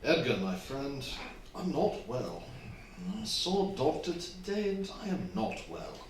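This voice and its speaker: A man's voice: mid-range voice, very animated, unhurried, far from the mic. An actor playing a wealthy, self-satisfied, genteel husband in his fifties in a staged radio drama. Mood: weary. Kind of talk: staged old-fashioned radio drama acting. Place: theatre hall, distant microphone. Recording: echoey stage recording from afar, faint noise.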